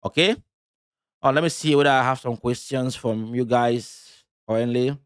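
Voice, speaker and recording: A man's voice: mid-range voice, clean tone, no room sound. Guy from cameroon talking through trading charts live, lots of ums and restarts, dry close sound. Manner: loose, halting delivery with many fillers and restarts. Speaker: crypto trading streamer.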